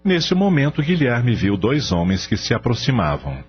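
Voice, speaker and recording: Male storyteller for radio drama, lively intonation, slightly muffled sound with music underneath. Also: mid-range voice, moderate pace. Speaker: narrator. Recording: dull radio-like audio, music behind.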